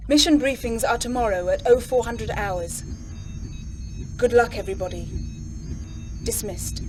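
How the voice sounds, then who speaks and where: A woman's voice: on the high side, measured pace, natural voice. A woman playing a resolute new force commander, giving a formal, composed military briefing in a game cutscene. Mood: businesslike, firm. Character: resolute female investigator turned force commander. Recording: long hall reverb. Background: music.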